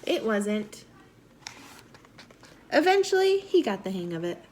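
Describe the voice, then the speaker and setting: A woman reading a storybook aloud to young children in an animated, expressive teacher's manner. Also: mid-range voice, measured pace, a little hiss or hum. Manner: animated, asks the listeners questions.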